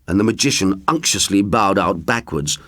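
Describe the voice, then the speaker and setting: A man reading a children's story in a theatrical, expressive way. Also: sits low, moderate pace, dry recording, clean studio sound. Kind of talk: scripted children's story narration.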